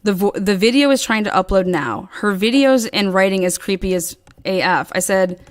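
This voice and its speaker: A woman guest in an interview telling a long story in a casual, animated way and acting out quoted dialogue. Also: mid-range voice, moderate pace. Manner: rambling with frequent restarts.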